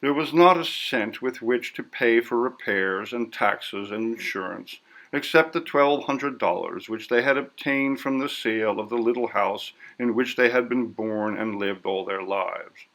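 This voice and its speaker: A man reading a story as scripted narration in a measured, literary delivery. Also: mid-range voice, a bit bright. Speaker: audiobook narrator.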